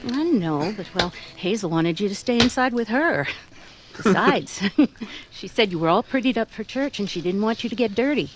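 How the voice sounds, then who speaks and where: A woman acts out a timid young woman in an old-time radio play. She is meek and flustered, stammering and hesitating through her phrases. The recording has clear background noise. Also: medium pitch, expressive, normal speed, natural voice. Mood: grateful. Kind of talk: 1940s radio drama acting.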